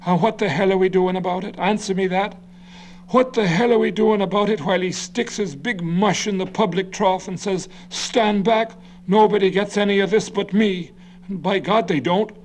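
Brief: male reader as a blustering, red-faced old ward politician, ranting, slightly lower than his narration. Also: slight background noise, lightly acted. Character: combative old city politician, hot-tempered.

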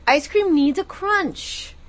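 A woman co-hosting a call-in radio show, chatting loosely with quick jokes and asides. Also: high-pitched, animated. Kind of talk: casual radio chat taking callers.

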